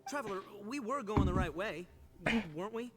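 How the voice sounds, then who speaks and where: A young oni gang boss from a video game, brash and good-natured. His delivery is theatrical and boastful, with highly animated pitch over light music. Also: normal speed. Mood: confused.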